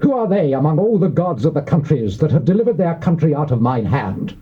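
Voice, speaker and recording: An actor with a British accent playing a boastful, threatening Assyrian envoy, declaiming in a taunting, theatrical biblical drama style. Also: male voice, fairly high pitch, big pitch swings, a little fast, mellow, husky. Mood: loud taunting proclamation. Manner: declamatory, taunting, archaic oratory. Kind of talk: theatrical dramatized scripture reading. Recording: muffled old cassette with background hiss.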